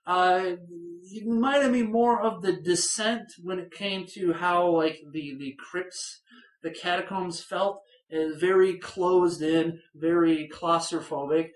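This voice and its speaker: A film reviewer talks through a horror movie review in a loose, rambling way, stumbling over repeated words and fillers. The voice has a middling pitch and rings out in a roomy, echoing space. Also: fairly even intonation, slow. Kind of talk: casual spoken film review.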